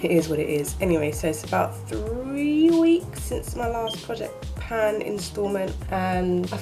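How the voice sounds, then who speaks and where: A woman chatting casually to her camera in a rambling, self-correcting way, her voice a little croaky from just waking up. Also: medium pitch, lively intonation, measured pace, over music, rough recording. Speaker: beauty vlogger. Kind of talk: casual chat. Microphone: handheld camera, shaky framing.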